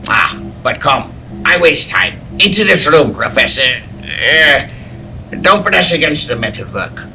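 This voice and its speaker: A man's voice: a little high, sing-song, unhurried, pushed and bright, a little hiss or hum, exaggerated voice. A man playing an earnest, anxious scientist-inventor in 1940s radio serial style, theatrical and emphatic, at a live table read. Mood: solemn, intense.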